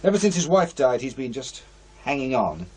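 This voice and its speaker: Casting: male actor for a calm, courteous lead ghost hunter in audio horror, medium pitch, lively inflection. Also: moderate pace, a little hiss or hum, close to an everyday voice. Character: calm, courteous male paranormal investigator leading a team. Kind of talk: audio horror drama acting.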